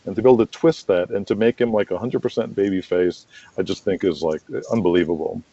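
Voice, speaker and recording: Low-voiced man in a dull, roomy recording. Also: steady tone, fast, warm tone, husky. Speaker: interview guest, wrestling promoter and booker. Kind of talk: casual interview chat.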